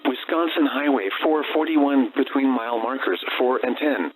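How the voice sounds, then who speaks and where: A synthetic man's voice reads automated weather warnings as a radio announcer, with flat, formal, segmented delivery. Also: medium pitch, moderate pace. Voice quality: synthetic text-to-speech voice. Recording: noisy, humming weather radio broadcast.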